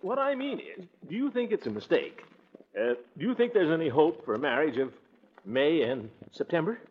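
An actor playing a pompous, easily flustered middle-aged bachelor, blustery and hesitant in a 1940s radio comedy. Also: male voice, a little high, sing-song, moderate pace, a little hiss or hum. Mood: nervous, hesitant. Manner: blustery, hesitant, theatrically pleading. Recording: tinny, band-limited 1940s radio recording.

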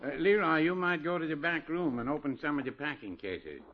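A man's voice: a little high, animated, fairly quick. A man playing a mild, polite, obliging shopkeeper in a 1950s radio comedy. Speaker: actor in a radio sitcom. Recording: dull old 1950s radio recording.

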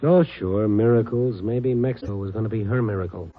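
A man's voice: fairly low pitch, brisk, close to an everyday voice. An American man playing a world-weary, wry newspaper reporter in a clipped 1950s radio drama style. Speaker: newspaper reporter lead and narrator. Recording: tinny old radio recording with music.

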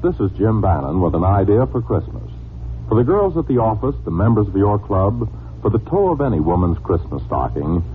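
A polished 1940s radio announcer, a man with a lowish voice and very lively intonation. He delivers a scripted sales pitch on a thin, tinny recording. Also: a little hiss or hum.

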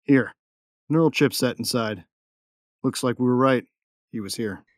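A man's voice: mid-range voice, a little slow, no room sound, clean studio sound, natural voice. A man playing a tough young gunfighter and protective big brother in a sci-fi audio drama, matter-of-fact and wry. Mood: terse. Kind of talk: first-person narration and dialogue in sci-fi audio drama.

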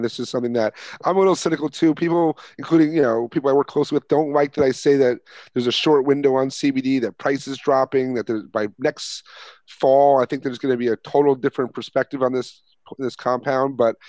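A man's voice: mid-range voice, steady tone, brisk, a little mellow, dry recording, highs cut off. A man hosting a podcast, chatting earnestly with frequent restarts and fillers. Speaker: podcast host. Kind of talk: casual interview chat.

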